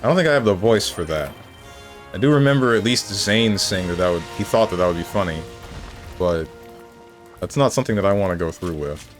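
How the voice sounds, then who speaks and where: A lower-voiced man talks casually to his viewers while he plays, joking and answering chat. Clear game music runs underneath the whole time, and now and then he breaks into mock excitement. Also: brisk. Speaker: video game streamer. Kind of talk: casual live-stream chat while gaming.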